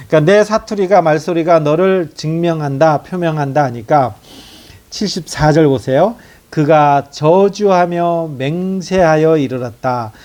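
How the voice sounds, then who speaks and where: Male pastor, fairly high voice, teaching a passage verse by verse with rhetorical questions. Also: darkish tone. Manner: explains scripture verse by verse, asking rhetorical questions. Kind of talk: expository sermon.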